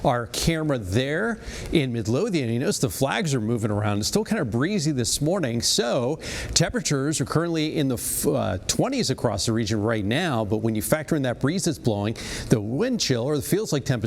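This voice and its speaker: Man, meteorologist, conversational, brisk, reverberant studio. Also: medium pitch, big pitch swings, gravelly. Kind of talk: weather forecast.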